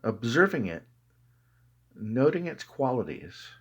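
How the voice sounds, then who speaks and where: A man narrating a guided meditation in a calm, measured way, with deliberate pauses between phrases. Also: medium pitch, husky.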